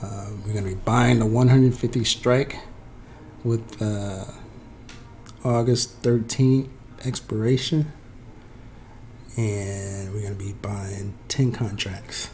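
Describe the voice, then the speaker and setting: A man with a cold-roughened, hoarse voice explaining an options trade in a halting way, full of fillers and restarts. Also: fairly low pitch, steady tone, a little mellow, slight background noise. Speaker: trading tutorial presenter. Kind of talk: unscripted screen-share explanation.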